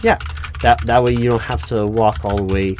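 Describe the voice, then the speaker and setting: A man's voice: fairly low pitch, steady tone, normal speed, background noise, close to an everyday voice. A man playing a flustered, officious recruiting manager in a one-sided job interview roleplay, stammering and repeating himself. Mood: awkwardly accommodating. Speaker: roleplay performer.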